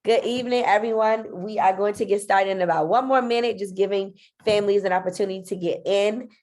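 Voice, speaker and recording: A woman's voice: mid-range voice, a little mellow. A woman with a stuffy, nasal voice from congestion, running an online parent meeting as a school leader and talking in a friendly way with frequent ums. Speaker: school principal.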